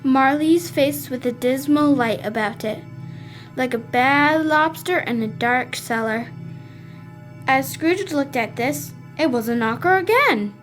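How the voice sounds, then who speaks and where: A child narrating a school radio play in a slow, careful way. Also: female voice, high voice, faint music behind. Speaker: narrator and ghost.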